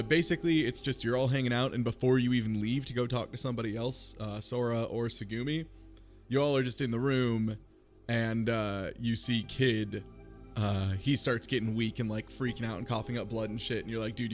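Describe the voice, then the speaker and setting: A mid-pitched man chatting on a podcast. He is laid-back and explains things at length. Faint music plays in the background. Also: steady tone, brisk. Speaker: podcast co-host. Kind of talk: casual chat recapping a visual novel.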